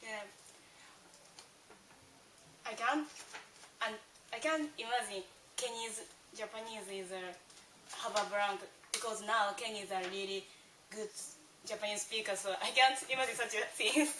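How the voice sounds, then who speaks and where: A woman's voice: medium pitch, steady tone, measured pace, long pauses, noisy background. A woman interviewing a young man, reading short questions and murmuring brief acknowledgements. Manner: brief, soft backchannel murmurs between questions. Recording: roomy, distant mic.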